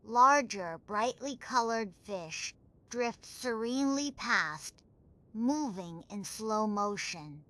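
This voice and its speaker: Woman reading a sleep story, really really slow n soothing, kinda high bright voice. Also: expressive. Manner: soothing, measured, unhurried delivery. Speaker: bedtime story narrator.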